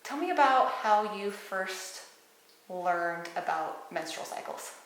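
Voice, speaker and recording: A woman's voice: medium pitch, lively intonation, slow pace, a bit bright. A woman interviewing a friend, asking casual questions and answering with short prompts like "gotcha" and "wow.". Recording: echoey room.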